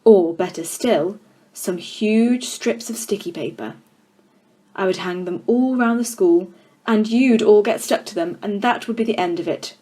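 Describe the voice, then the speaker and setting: A woman's voice: mid-range voice, measured pace, mellow, natural voice. Storybook villain: menacing, scornful school tyrant played by a woman reader, domineering and emphatic. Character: tyrannical, child-hating, menacing adult headmistress.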